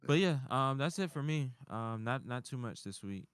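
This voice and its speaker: A man's voice: mid-range voice, lively intonation, normal speed, clear, resonant tone, no room sound, studio quality. A man chatting casually, swearing now and then and often repeating himself as he finds his phrasing. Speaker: panelist on a nerd culture show. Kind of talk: casual chat.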